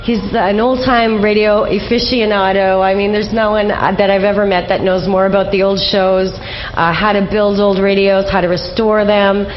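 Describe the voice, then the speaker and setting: A woman's voice: medium pitch, fairly even intonation, moderate pace. A woman hosting a radio interview in a casual, conversational style. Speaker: radio show host. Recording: dull lo-fi sound with background hiss.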